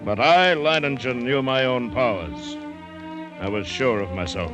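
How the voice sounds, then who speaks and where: A man's voice: medium pitch, animated, unhurried, natural voice. Male actor as cocky plantation owner, self-assured, theatrical, old radio adventure, faint music. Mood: proud, defiant. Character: supremely confident, smug, stubborn male plantation owner. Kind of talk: old-time radio drama acting. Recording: vintage radio recording with music.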